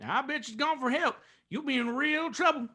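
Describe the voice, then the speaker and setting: A man playing a big, excitable, taunting rancher in an old-time western radio drama. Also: very high-pitched, moderate pace, pitched above the performer's own voice.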